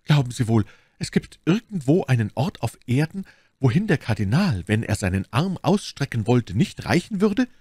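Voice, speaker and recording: Guy doing a sly noble lady acting all innocent, formal and smooth-worded, lilting up and down, audiobook, dry sound. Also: medium pitch, sing-song, moderate pace, warm tone, no echo, pitched above the performer's own voice. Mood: pleading. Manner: polished, formal, artfully naive. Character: scheming noblewoman feigning innocence and suffering. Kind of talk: audiobook character dialogue.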